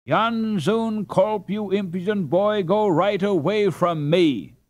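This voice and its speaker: Man putting on a high-pitched saucy young girl. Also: slow, a little mellow. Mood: indignant. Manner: indignant, emphatic. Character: saucy, scornful young girl who detests a boy.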